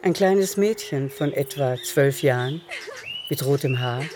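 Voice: mid-range voice, unhurried, noisy background. A narrator telling a literary story in a radio drama, in a composed manner. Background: music.

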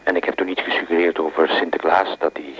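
A man's voice: low-pitched, little pitch movement, quick pace, husky. A man playing a polite, playfully solemn young man making a phone call in a radio drama. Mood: polite, hesitant.

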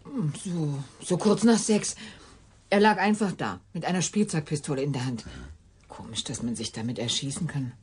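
Actress as a low-voiced, cheeky, gossipy cleaning lady. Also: normal speed, darkish tone, slight background noise, lightly acted. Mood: flippant. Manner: cheeky, blasé, swinging intonation. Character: blunt, gossipy, flippant female institute cleaning lady. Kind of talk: radio drama acting.

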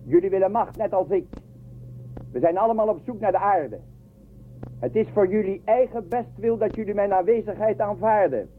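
A man acting a scheming, self-assured space ruler in a radio serial. His voice sits a little high, with a commanding theatrical delivery. The sound is thin as a phone line, with faint noise. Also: normal speed, natural voice. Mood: threatening, bargaining.

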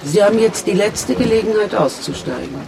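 A woman's voice: medium pitch, expressive, fairly quick, vocal fry, noisy background. An actress playing a determined, brusque female scientist and submarine pilot in a radio crime drama, speaking with command. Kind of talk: radio play dialogue.

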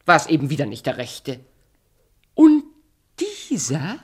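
A woman's voice: sits low, wildly swooping pitch, unhurried, breathy edge, exaggerated voice. An actress playing a vain, scheming and imperious evil queen in a theatrical fairy-tale radio play. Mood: sly, wheedling. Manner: wheedling, imperious, dramatic.

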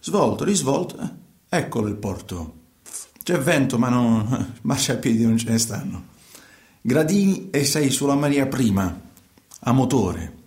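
A man playing a grumbling, restless young emigrant in a radio theatre monologue, colloquial and halting. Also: mid-range voice, lively intonation, a little mellow. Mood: musing. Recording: roomy, echoing sound.

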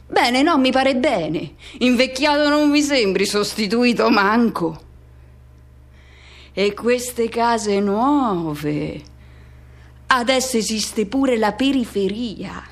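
A woman's voice: on the high side, expressive, takes it slowly, full character voice. A woman playing a restless, solitary middle-aged man in a radio drama monologue, speaking colloquially in short broken phrases as if questioning himself. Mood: wry, melancholy.

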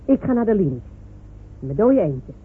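An actress playing a gruff, fierce village woman with a brusque, colloquial way of talking, in a radio drama. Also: mid-range voice, sing-song, normal speed. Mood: stern, threatening. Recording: tinny, noisy old radio recording.

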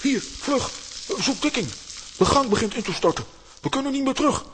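A man playing an excitable, grumbling, bumbling comic hero in a children's audio play, theatrical and blustering. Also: very high voice, a little fast, background noise. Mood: alarmed.

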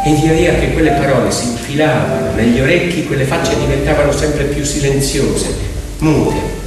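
A man telling a story theatrically as a stage actor, with dramatic, drawn-out phrasing. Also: on the high side. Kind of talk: theatrical narrative storytelling with piano. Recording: very echoey hall, distant, loud music. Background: loud piano music.

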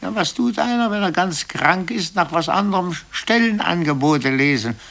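An actor in a radio play voicing an embittered, brooding middle-aged office clerk who speaks haltingly and repeats himself. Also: male voice, high voice, animated, fairly bright tone. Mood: bitter, resigned. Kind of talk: 1950s German radio play acting.

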